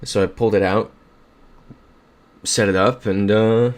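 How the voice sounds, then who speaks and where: A man's voice: fairly low pitch, steady tone, a little slow. A young man streaming casually from a home room at a desk mic, upbeat, thinking aloud and reading out chat messages. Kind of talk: casual livestream commentary.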